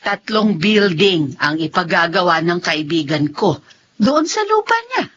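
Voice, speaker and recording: A woman's voice: fairly low pitch, very animated, a little slow, a bit bright. An actress with a Filipino accent playing a kindly, devout older mother in a radio drama. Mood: encouraging. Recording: dull audio, faint noise.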